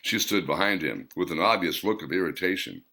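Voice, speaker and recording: A man reading his own story aloud in a plain, unhurried narrating manner. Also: deep voice, expressive, bright, forceful tone.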